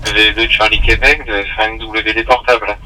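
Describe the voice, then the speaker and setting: A man from France checks in on amateur radio in a procedural style. His voice arrives over a digital radio transmission, with clear vehicle noise around it. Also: lowish voice, level tone, moderate pace. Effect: digital ham radio transmission. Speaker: portable amateur radio operator.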